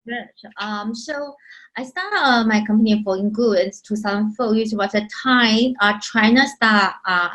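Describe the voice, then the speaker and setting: A woman's voice: fairly high pitch, a little slow, a bit bright, poor audio. Taiwanese woman founder, filler-heavy answers. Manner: loose, searching phrasing with many fillers. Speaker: company founder, guest. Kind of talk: interview answers.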